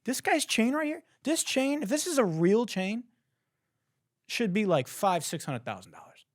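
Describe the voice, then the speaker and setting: Young male podcast host, incredulous comic ranter, slightly hoarse, very expressive, dead-dry sound. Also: sits high, normal speed, no room sound. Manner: profane, laughing, incredulous ranting. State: hoarse, says he has lost his voice. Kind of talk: casual comedic commentary.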